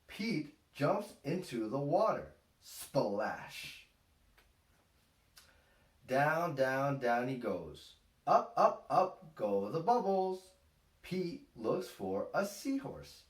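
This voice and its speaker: A man in his thirties reading a picture book aloud to young children in a deliberate, expressive way. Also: medium pitch. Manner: deliberate, expressive, simple phrasing. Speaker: storytime host, father reading aloud. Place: small room at home, indoors. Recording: roomy, distant home-camera sound.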